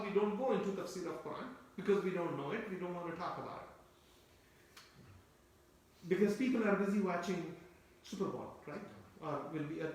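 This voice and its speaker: Echoey, distant lecturing man with a high voice. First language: Turkish. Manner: explanatory, repeats phrases, asks listeners rhetorical questions. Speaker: Quran teacher. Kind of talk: tafsir lecture. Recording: very echoey room, voice from far off.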